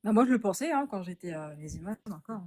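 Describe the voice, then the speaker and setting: Woman, warm mellow voice, indignant, hesitant. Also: medium pitch, expressive, quick pace. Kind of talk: casual chat.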